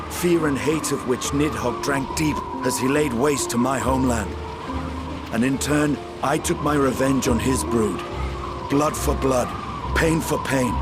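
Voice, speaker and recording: An actor playing a bitter, battle-hardened male warrior, speaking in a measured, grave way. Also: medium pitch, lightly acted. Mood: brooding. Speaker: dragoon warrior character. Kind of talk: video game cutscene acting. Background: loud orchestral music.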